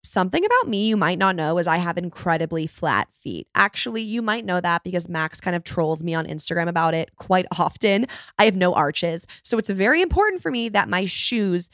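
A woman's voice: on the low side, very animated, brisk, hardly any pauses, fairly bright tone, no room sound, studio quality. A woman podcast host delivering a conversational sponsor read in a personal, first-person way.

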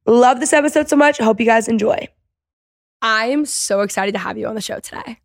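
A woman's voice: on the high side, fairly quick, no echo, clean studio sound. A woman in her twenties hosting a podcast in a casual, chatty, gushing and enthusiastic way. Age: 20s. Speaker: podcast host. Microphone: close podcast microphone.

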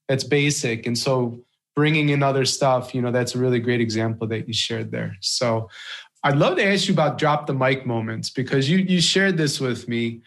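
A man hosting a podcast interview, talking in a relaxed, thoughtful way with plenty of fillers. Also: mid-range voice, moderate pace. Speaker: podcast host.

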